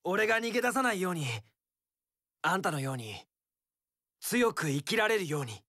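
An actor playing a carefree, romantic young wandering warrior with a tender streak in an action game, theatrical, breezy and poetic. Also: male voice, high-pitched, big pitch swings, normal speed, sharp tone, dry recording, clean studio sound, full character voice. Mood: earnest. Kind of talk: Japanese action game voice acting.

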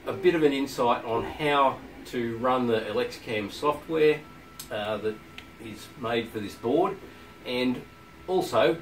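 A man's voice: medium pitch, slight background noise. Congested man with a flu-stuffed voice. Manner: relaxed, hesitant, many ums and uhs. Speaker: channel host demonstrating laser engraving software. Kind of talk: casual tutorial walkthrough. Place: workshop office, indoors.

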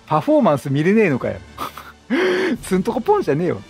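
A man's voice: high voice, huge pitch swings, fast talker, a little mellow. A man doing casual gameplay commentary, chatty and full of quipped reactions. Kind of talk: casual let's-play commentary, reading dialogue aloud. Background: game music.

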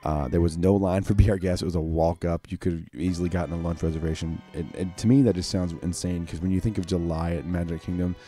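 A man hosting a casual solo podcast in a rambling, enthusiastic way, his voice sounding lower than usual. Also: steady tone, fast talker, few pauses, mellow, soft background music. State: voice sounding unusually low today. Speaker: podcast host.

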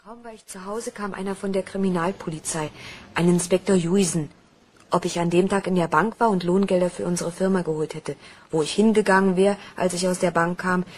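A woman's voice: fairly low pitch, steady tone, fairly quick, darkish tone, lightly acted. An actress playing a polite, slightly uneasy young female office worker in a crime radio play, answering carefully. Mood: recounting. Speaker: actor.

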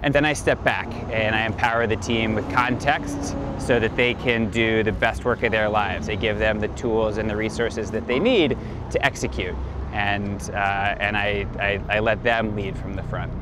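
A man's voice: fairly low pitch, steady tone, normal speed, noisy background. A man in his thirties talking informally about his life and work, explaining as an executive in a casual interview. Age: 30s. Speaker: company chief executive being profiled. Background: background music.

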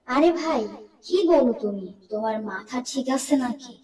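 A woman's voice: on the high side, lively intonation, unhurried, darkish tone. A woman voicing a scornful, fearful cartoon townsperson, mocking and dismissive, in a distant recording with long reverb. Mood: incredulous, mocking. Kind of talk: cartoon story dialogue.

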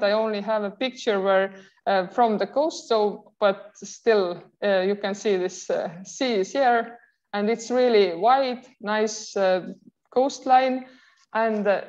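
A woman's voice: mid-range voice, takes it slowly, a little mellow. Woman, Estonian accent, university lecturer, hesitant delivery, muffled video call audio. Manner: hesitant, many filler sounds and restarts. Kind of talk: online lecture.

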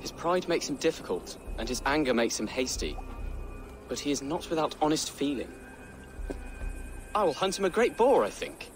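An earnest young Saxon noble. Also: male voice, fairly high pitch, sing-song, moderate pace, pauses often, soft background music. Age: young adult. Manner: earnest, hopeful, softly spoken. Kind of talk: video game cutscene acting.

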